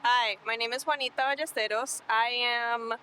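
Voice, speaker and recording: A woman's voice: on the high side, fairly even intonation, moderate pace, bright, forceful tone, clean tone, rough recording. A young woman from Colombia who works on city sustainability, answering oral history interview questions earnestly and hopefully, with some fillers.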